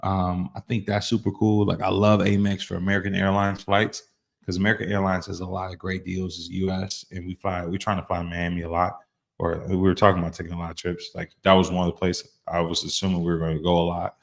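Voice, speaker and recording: Deep, gravelly man, laid-back chat. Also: little pitch movement, quick pace. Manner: laid-back, rambling, many fillers. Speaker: host. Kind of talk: casual reaction chat.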